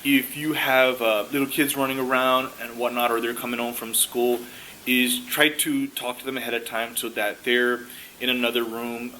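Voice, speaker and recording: A man with a bright, forward voice coaches listeners in an informal way. He explains step by step, a little haltingly, repeating words to stress them. Faint noise sits behind him. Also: medium pitch, low-quality recording. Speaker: career coach giving interview advice. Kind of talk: informal advice talk.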